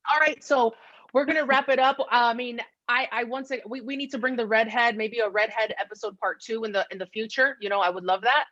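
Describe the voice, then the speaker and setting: A woman's voice: a little high, a little fast, a bit bright, no echo. A woman hosting a casual podcast chat, talking in an effusive, chatty way. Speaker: podcast host. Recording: dull, lo-fi close dry audio.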